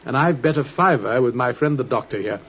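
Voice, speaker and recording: A man playing a shrewd gentleman detective in an old-time radio drama, with a British accent and a polite, brisk, knowing manner. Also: medium pitch, animated, lightly acted. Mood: playfully wagering. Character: shrewd, urbane gentleman detective, quietly commanding. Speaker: actor in a radio play. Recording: muffled, hissy vintage radio recording.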